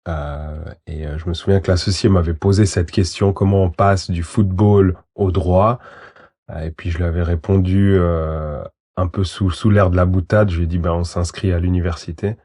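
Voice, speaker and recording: A man's voice: sits low, steady tone, moderate pace, dark tone. A man in his thirties from Switzerland, a lawyer answering interview questions in a hesitant way, with many fillers and restarts. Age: 30s. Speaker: guest, lawyer.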